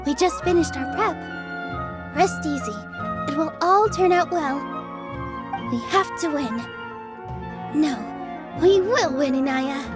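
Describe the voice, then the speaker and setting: A woman voicing a gentle, earnest young female dancer in a game, speaking softly and thoughtfully. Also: high voice, slow pace, pauses often. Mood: warm. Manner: soft-spoken, earnest and thoughtful. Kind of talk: game voice acting. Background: soft game music.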